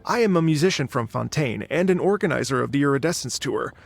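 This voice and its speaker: A man's voice: medium pitch, expressive, fast talker, gravelly. A man voicing a polite, slightly theatrical musician in a video game. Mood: courteous. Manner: courteous, a little theatrical.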